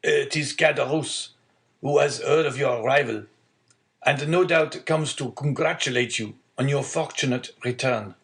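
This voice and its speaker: A frail old father from a classic storybook, put on by a man reading aloud. He speaks in a raised voice, meek and halting. His manner is gentle and self-denying, always placating. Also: mid-range voice, pitched above the performer's own voice.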